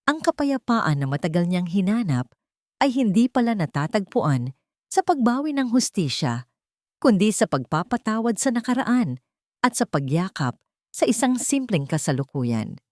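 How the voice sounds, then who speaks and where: A woman with a Filipino accent narrates a scripted dramatic story in short, measured, sentimental phrases. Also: medium pitch, big pitch swings, darkish tone, no room sound, clean studio sound.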